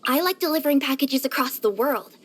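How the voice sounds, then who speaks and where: A woman voicing a cheerful, curious young female cat yokai in a video game, with a light girlish voice and an expressive, chatty delivery. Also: sits high, fairly quick. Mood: eager, content. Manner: expressive, eager, chatty, wistful at times. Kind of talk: scripted game character voice-over.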